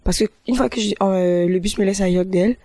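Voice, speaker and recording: A young woman university student answering interview questions in a hesitant, halting way, often restarting her sentences. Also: mid-range voice, dark tone, no echo. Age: young adult.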